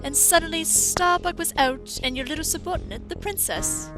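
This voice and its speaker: A woman's voice: high-pitched, normal speed, loud music behind the voice, poor audio. A woman playing a haughty, sardonic robotics scientist who taunts slyly in a video game fandub. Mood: mocking.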